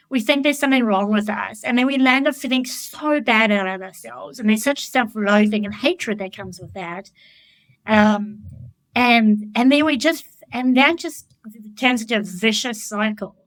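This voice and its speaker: Blunt, high-voiced woman life coach. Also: measured pace, no room sound, poor audio. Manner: candid and blunt, swears freely; enthusiastic, animated storytelling. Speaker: life coach, podcast guest. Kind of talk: casual conversational interview.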